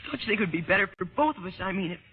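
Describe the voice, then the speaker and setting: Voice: medium pitch, lively intonation, rapid-fire, a little hiss or hum, close to an everyday voice. A performer playing an earnest, loyal, anxious young son, pleading hesitantly in an old-time radio drama. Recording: tinny old radio broadcast, music behind.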